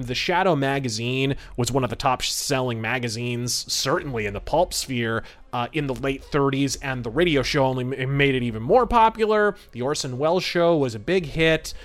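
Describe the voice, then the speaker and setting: A man hosting a podcast, giving conversational film commentary in a chatty, discursive way with frequent asides. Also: medium pitch. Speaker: podcast host.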